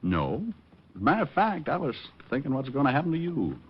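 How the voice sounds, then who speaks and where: A man's voice: mid-range voice, very animated, fairly quick, slightly rough. An actor playing a flippant, glib male private detective in dry, hardboiled 1940s radio style. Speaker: lead actor and narrator in radio crime drama. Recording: muffled old 1940s radio recording.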